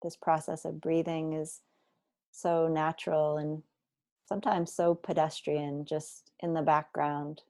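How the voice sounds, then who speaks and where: A woman guiding a body scan meditation, speaking slowly and deliberately with gentle instructions and long pauses. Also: deep voice, flat delivery, no room sound, clean studio sound. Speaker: meditation teacher.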